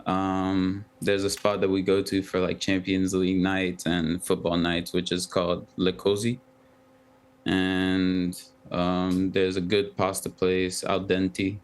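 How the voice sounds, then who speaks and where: A young man, a professional footballer and a Twi speaker, giving halting, soft-spoken interview answers full of ums. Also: deep voice, completely flat delivery. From United States.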